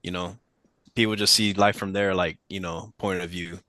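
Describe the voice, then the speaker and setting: Host: man, low voice with a bright, forceful edge, dry close sound, relaxed. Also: normal speed, no echo. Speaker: podcast host. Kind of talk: casual podcast interview.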